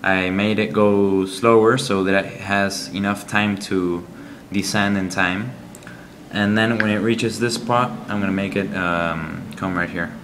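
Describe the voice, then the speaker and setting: A man reviewing a game app, walking through its screens in a halting, explanatory way with frequent ums. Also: fairly low pitch, steady tone, background noise.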